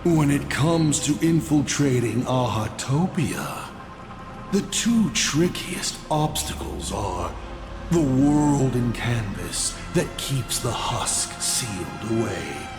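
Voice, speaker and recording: A man's voice: mid-range voice, echoey room. A man playing a smug, playful scheming villain in a video game, with a theatrical, teasing delivery. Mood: smug, explanatory. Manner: theatrical, teasing, drawn-out delivery with big swoops.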